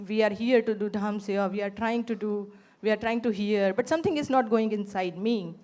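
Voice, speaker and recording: A woman giving a heartfelt personal testimony as a pilgrim, telling her story in animated bursts. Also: mid-range voice, a little fast. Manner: animated storytelling, quotes herself in lively bursts. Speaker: pilgrim sharing reflections.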